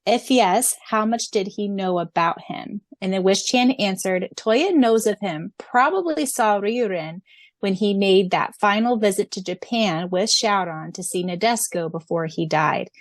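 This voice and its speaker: A woman co-hosting a casual fan podcast, gushing and excitable, often laughing between lines. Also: medium pitch, measured pace, no room sound. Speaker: podcast co-host.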